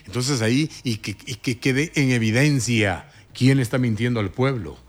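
A man hosting a morning radio talk show, commenting with indignant emphasis and sudden rises in pitch. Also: normal speed, faint music behind.

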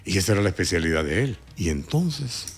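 A man hosting a morning radio talk show, commenting with indignant emphasis and sudden rises in pitch. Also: very animated, brisk.

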